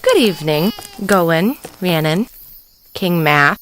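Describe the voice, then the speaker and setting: A woman's voice: fairly low pitch, lively intonation, slow pace, a bit bright, low-quality recording. A woman playing an addled, babbling psychic mother in an audio drama, rambling cryptically in a theatrical way. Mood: distracted, mournful. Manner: rambling non sequiturs, theatrical delivery. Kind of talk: stage-style audio drama acting.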